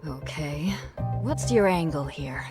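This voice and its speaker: A woman's voice: low-pitched, lively intonation, slow. A woman voicing a tough, threatening young woman in a video game, curt, sardonic and scornful. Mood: scornful, impatient. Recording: music behind the voice. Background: music.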